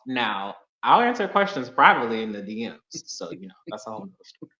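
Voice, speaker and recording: A man from Pittsburgh joins a live dating show as a caller and talks in a polite, friendly, measured way. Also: medium pitch, sing-song, bright, forceful tone. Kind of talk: casual video-call chat. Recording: echoey, dull video-call audio.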